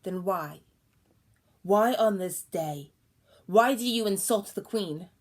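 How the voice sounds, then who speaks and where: A woman's voice: on the low side, fairly even intonation, slow pace, creaky, exaggerated voice. A woman voicing a shaken young queen who questions and commands in an audiobook. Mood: demanding.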